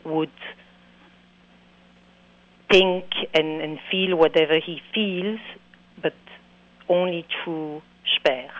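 Female documentary maker as interview guest, Belgian accent, French mother tongue, halting phrasing, very dry acoustic. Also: low-pitched, no echo, highs cut off. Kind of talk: radio interview.

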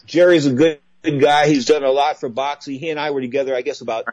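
A man's voice: medium pitch, steady tone, fast talker, darkish tone, no echo. A man in his sixties hosting a boxing radio show, effusive and sentimental, repeating his phrases for emphasis. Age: 60s. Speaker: radio talk show host.

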